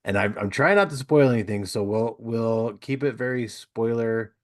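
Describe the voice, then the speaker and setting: A man's voice: mid-range voice, moderate pace, no room sound, studio quality. A man hosting a podcast, asking questions in a casual, conversational interview style. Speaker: podcast host.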